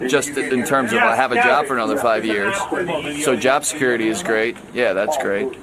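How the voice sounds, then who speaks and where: A man with a middling voice gives casual interview answers. He sounds dry and noncommittal, and loud crowd noise surrounds him throughout. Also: mid-range voice, moderate pace. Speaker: show staff member being interviewed. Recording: very noisy room, loud background chatter.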